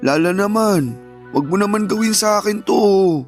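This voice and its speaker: A man acts out a clinging, remorseful young father in a radio drama. He begs in a high voice, insistent and desperate, with faint music underneath. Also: normal speed, close to an everyday voice. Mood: pleading. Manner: pleading, insistent. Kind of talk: Tagalog radio drama acting.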